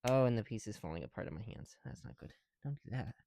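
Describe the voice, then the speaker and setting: A man chatting informally as an ASMR creator, sweary and teasing, drifting between soft murmurs and louder talk, breathing audibly through a thick mask. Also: lowish voice, animated, fast, a little hiss or hum. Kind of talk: casual first-person ASMR build chat. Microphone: close mic, speaking through a mask.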